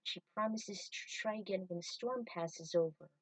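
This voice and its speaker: A woman's voice: on the low side, moderate pace, dry recording, distant mic, low-quality recording. A woman storyteller narrating a piece of fan fiction.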